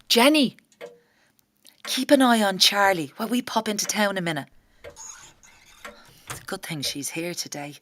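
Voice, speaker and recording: A woman's voice: fairly low pitch, exaggerated voice. A woman playing an anxious working-class young mother who fears for her husband, in an intimate audio drama monologue. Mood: agitated, resolute. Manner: hushed, breathy inner thoughts broken by spoken-aloud outbursts. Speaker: actor in a solo audio play.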